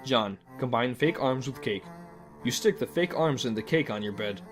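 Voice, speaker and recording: A man reading scripted second-person story narration in a measured, dryly mock-formal way. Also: medium pitch, lively intonation, faint music behind.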